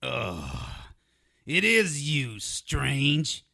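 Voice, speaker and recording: An actor playing a small, foul-mouthed, grumpy gnome-like creature with a raspy voice in an audio drama. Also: male voice, mid-range voice, huge pitch swings, drawn-out words, pushed and bright, heavily acted. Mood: bitter. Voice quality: raspy, gravelly. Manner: crude, combative street-tough talk.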